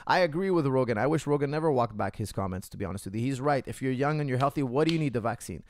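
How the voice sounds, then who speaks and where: A man in a mid-range voice rants heatedly to his podcast viewers, circling back over the same points and calling them guys. His pitch swings widely as he argues. The sound is dry and close, with no room echo. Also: expressive, racing. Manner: heated, repeats himself, calls viewers guys. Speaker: podcast host. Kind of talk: casual opinion rant to viewers. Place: Canada.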